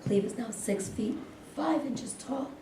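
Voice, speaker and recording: A woman's voice: a little high, unhurried. A woman reading out stage directions as scripted narration at a staged play reading, in a distant, echoey, noisy recording.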